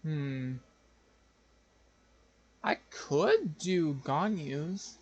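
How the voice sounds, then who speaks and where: A man's voice: a little high, expressive, extremely slow, husky. A man doing casual live game commentary, thinking aloud in a hesitant, wry way. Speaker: game streamer.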